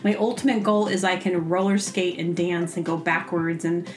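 Female podcast host, lowish pitch, informal rambling delivery, playful and conversational. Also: little pitch movement, moderate pace. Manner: playful, informal, frequent "like" and "you know". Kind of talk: rambling casual solo chat.

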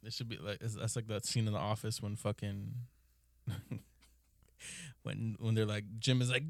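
A man's voice: on the low side, steady tone, moderate pace, vocal fry, no room sound, studio quality. A man co-hosting a podcast, chatting casually with friends, laughing often and rambling with a lot of swearing. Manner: laughing, profane, rambling storyteller. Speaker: podcast co-host. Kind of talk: casual group chat.

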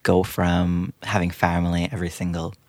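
Young man, very low voice, thoughtful, hesitant restarts, dry close recording. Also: monotone, normal speed, darkish tone, dry recording. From Trinidad. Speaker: guest. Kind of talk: reflective personal interview.

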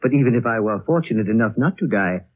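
An actor playing a courteous, discreet elderly lama with formal, measured speech, in 1930s radio drama style. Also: male voice, medium pitch, lively intonation, slightly rough. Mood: courtly, measured. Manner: formal, measured, politely evasive. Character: courteous, discreet lama aged over a hundred, looking younger. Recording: thin, narrow old 1930s radio recording.

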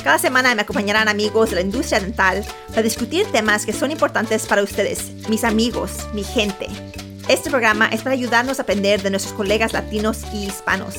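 A woman's voice: mid-range voice, a little fast, music in the background. A woman hosting a podcast, introducing the show and her guest, mixing some English in. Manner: mixes English into Spanish. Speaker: podcast host.

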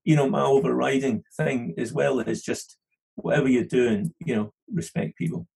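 Gravelly Scottish-accented man, rambling. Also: medium pitch, steady tone, normal speed, darkish tone, poor audio. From London. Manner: rambling, many restarts and "you know". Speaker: podcast guest, studio manager. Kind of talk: casual interview chat.

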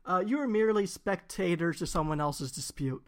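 A man's voice: high voice, normal speed. Laid-back male game master. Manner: relaxed, laughing, filler-heavy narration. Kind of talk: narrating a tabletop game.

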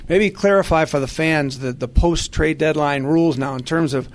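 A man's voice: on the high side, moderate pace. A man hosting a sports podcast in a conversational radio style, speaking earnestly and with complimentary phrasing.

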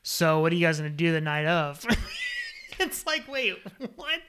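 Bright-voiced man in his thirties. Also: a little high, sing-song, normal speed, slight background noise. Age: 30s. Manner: easygoing, laughs often, playful. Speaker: podcast co-host. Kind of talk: casual reality-TV recap chat.

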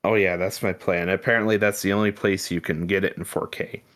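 A man co-hosting a podcast, chatting casually about films in a halting way with trailing asides. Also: low voice, fairly even intonation, a bit bright. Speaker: podcast co-host. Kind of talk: casual film chat.

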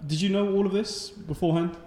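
A man hosting a casual interview, relaxed and informal with loose, chatty phrasing. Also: high-pitched, fast talker, roomy sound, big-room sound, slight background noise. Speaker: host.

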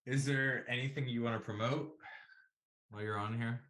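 A man hosting a podcast, chatting in a relaxed, joking way and stumbling over words. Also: mid-range voice, moderate pace. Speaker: podcast host. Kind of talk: casual interview chat.

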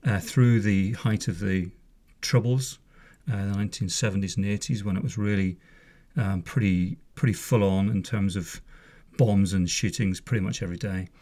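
A man's voice: lowish voice, steady tone, moderate pace, warm tone. A man in his fifties from Northern Ireland, a former police officer hosting a podcast, talking casually and wryly with frequent asides. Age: 50s. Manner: chatty, wry, wanders off on asides. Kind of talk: casual spoken monologue. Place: home room.

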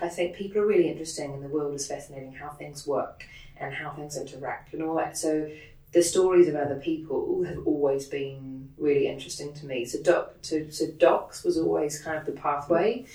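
A low-pitched woman with a New Zealand accent chats as an interview guest, quick and animated, with plenty of "like". She sits a little back from the mic, so her voice carries a roomy echo. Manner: quick, animated, peppered with "like" and laughs. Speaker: interview guest, production head. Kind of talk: casual interview chat. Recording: roomy sound, distant from the mic.

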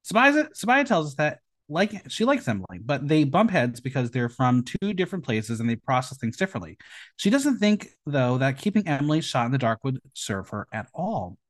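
A man's voice: medium pitch, very animated, moderate pace. A man hosting a casual recap show, narrating events in a playful, theatrical way and tossing out questions. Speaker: podcast host. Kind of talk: casual episode recap commentary with rhetorical questions.

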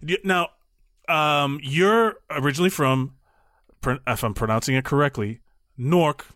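A man hosting a podcast in casual conversation, halting and repeating words as he thinks aloud. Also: a little high, fairly bright tone, dry recording, clean studio sound. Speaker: podcast host.

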